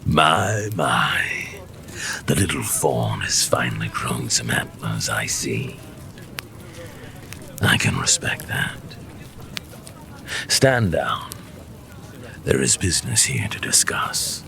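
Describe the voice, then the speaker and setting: Very deep, gravelly fire warlord. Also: male voice, sing-song, pushed and bright, slight background noise, full character voice. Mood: mocking. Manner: sneering, slow, boastful. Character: resentful, arrogant fire-primal warlord, male, deep and menacing. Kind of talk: fantasy audio drama acting.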